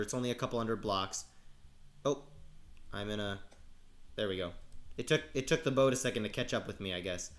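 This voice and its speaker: Guy with a cold, gravelly cracky voice, rambly let's play commentary, lots of um and restarts. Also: mid-range voice, a bit bright. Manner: rambling, halting, with frequent restarts and ums. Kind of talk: casual gameplay commentary.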